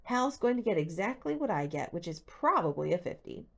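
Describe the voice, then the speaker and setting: A woman's voice: on the low side, sing-song, a little fast, gravelly, exaggerated voice. A woman voicing a plucky, wry teenage girl detective with an inquisitive manner in an audiobook. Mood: curious.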